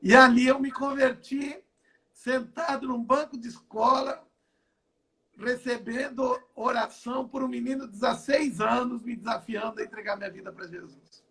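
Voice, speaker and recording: Older man, high voice, gravelly, repetitive, video call. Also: fairly even intonation, moderate pace, sharp tone. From southern Brazil. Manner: repetitive, stacks and restarts phrases. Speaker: apostle and pastor. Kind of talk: interview testimony. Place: at home.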